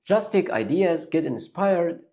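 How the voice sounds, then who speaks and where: A man's voice: on the high side, sing-song, a little slow, warm tone. A young man whose first language is Arabic, giving an upbeat step-by-step English lesson straight to the viewer. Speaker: English learning YouTuber. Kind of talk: direct-to-camera lesson.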